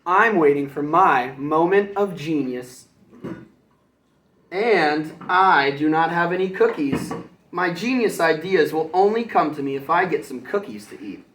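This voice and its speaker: A man's voice: a little high, expressive, measured pace, a bit bright, far from the mic, a little hiss or hum. A man acts out a self-important storybook unicorn who thinks himself a great writer. He is pompous, grumpy and easily put out, heard in a roomy, echoing space. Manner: pompous, exasperated.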